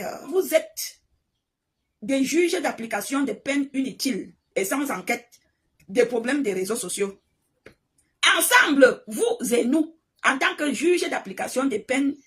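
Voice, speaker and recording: A woman from Cameroon, giving an impassioned spoken monologue full of stammering restarts. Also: fairly high pitch. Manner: animated, repetitive, stammering restarts. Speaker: online commentator.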